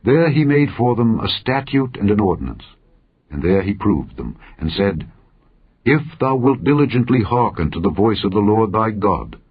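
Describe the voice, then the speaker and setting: Male Bible narrator, husky and solemn, measured declamatory phrasing, slightly dull recording. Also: on the low side, very animated. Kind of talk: solemn scripture reading.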